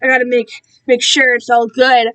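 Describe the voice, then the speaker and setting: A young woman with a fairly high-pitched voice hosts a casual livestream. She talks in a loose, rambling style, animated and prone to interrupting herself as she goes. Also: normal speed, smooth. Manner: animated, self-interrupting, quotes herself and others dramatically. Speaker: livestream host and amateur fan fiction reader.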